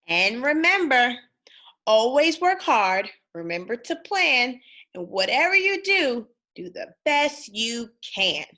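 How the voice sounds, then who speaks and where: A kindly, firm storybook mother pig, played by a woman reading aloud. She instructs emphatically in a bright voice, with a lively rise and fall. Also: high-pitched, slow pace, voice pushed up, exaggerated voice. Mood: cautioning.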